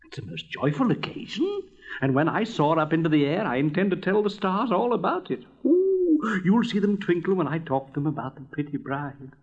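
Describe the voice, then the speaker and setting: A man's voice: a little high, big pitch swings, normal speed, slightly rough, muffled recording, heavily acted. A man voicing a small, cheerful, dreamy fire balloon in a storybook reading. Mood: joyful, excited.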